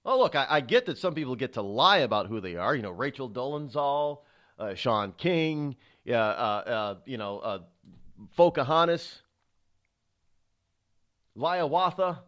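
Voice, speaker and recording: A man's voice: mid-range voice, very animated, unhurried, a bit bright. A middle-aged man hosting a talk radio show, delivering an indignant, rhetorical monologue with frequent stumbles and fillers.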